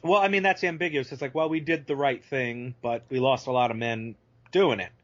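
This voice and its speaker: A man's voice: mid-range voice, fairly even intonation, brisk. A man chatting casually about films as a podcast guest, thoughtful and hesitant. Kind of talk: casual film discussion. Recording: slightly muffled audio.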